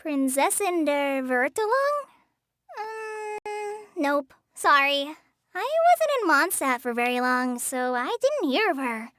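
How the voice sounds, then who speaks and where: A woman's voice: sits high, big pitch swings, no room sound, studio quality, heavily acted. A woman voicing a shy, earnest teenage girl in a video game, hesitant and apologetic in the way she talks. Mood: hesitant, modest. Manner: hesitant, apologetic, soft-hearted, halting with stammers. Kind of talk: video game character voice lines.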